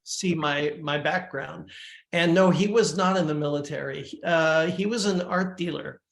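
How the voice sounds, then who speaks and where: An older man from Uruguay, a musician guest, talking in a measured, reflective way with frequent restarts. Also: a little high, vocal fry. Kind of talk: casual interview talk. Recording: echoey room.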